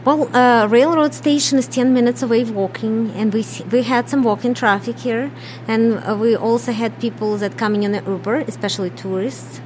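A woman's voice: fairly high pitch, fairly even intonation, moderate pace, a little mellow, low-quality recording. Woman, Russian accent, middle-aged, matter-of-fact, slight background noise. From Ukraine. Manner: plain, matter-of-fact, non-native grammar. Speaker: business co-owner. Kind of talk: radio interview answers.

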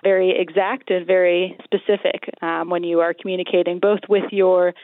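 A woman's voice: fairly low pitch, little pitch movement, moderate pace, dry recording, phone-quality sound. A woman from Colorado with an American accent giving explanatory answers as an interview guest over a remote line. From Colorado, United States. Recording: thin band-limited remote line.

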